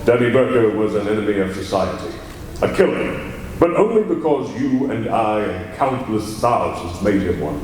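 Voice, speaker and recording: A man playing a mysterious male crime-fighter on a live stage, slow and commanding with a menacing laugh, in old-time radio style. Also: on the low side, very animated, darkish tone, a little hiss or hum. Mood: solemn. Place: large hall, live stage. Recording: echoey hall from far off.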